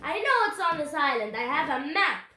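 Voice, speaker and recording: A woman's voice: high voice, lively intonation, takes it slowly, a bit bright, echoey room, full character voice. A woman voicing a mean, greedy pirate captain in a storybook, roaring and growling bossy pirate talk. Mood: threatening. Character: mean, greedy one-eyed pirate captain, loud and bullying.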